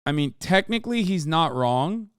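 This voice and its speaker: Sarcastic, profane male streamer, crisp voice. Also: a little high, lively intonation, dry recording, clean studio sound. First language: Turkish. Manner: profane, sarcastic, rapid rants. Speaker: streamer host. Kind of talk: casual live-stream news commentary.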